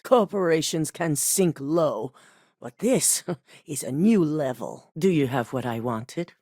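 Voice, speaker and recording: Brief: a ruthless middle-aged woman executive for a game, low and icy, lively pitch, dry studio sound. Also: no echo. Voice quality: clipped, commanding, icy. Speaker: video game character. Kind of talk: game dialogue voice acting.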